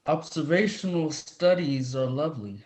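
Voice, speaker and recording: A man playing a dismissive professor in his sixties, measured and condescending, in a dramatic reading. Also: a little high, expressive, darkish tone, natural voice. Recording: roomy, echoing sound.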